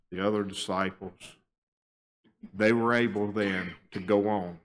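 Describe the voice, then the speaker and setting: An older man preaching a sermon at a church pulpit, moving between quiet, low stretches and raised, emphatic appeals. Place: church sanctuary, standing at a wooden pulpit. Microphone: pulpit microphone, filmed by a fixed camera.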